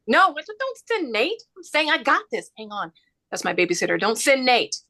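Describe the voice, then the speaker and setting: A harried woman reporter juggling work and motherhood, as an actress plays her. The voice is bright and swings in pitch, urgent throughout, as in a play reading. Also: lively intonation, normal speed, exaggerated voice. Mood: urgent, secretive. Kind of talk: script table read.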